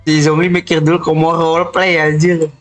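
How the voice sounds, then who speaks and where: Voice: medium pitch, little pitch movement, fast, soft background music. An Indonesian gaming streamer giving casual reactions during gameplay.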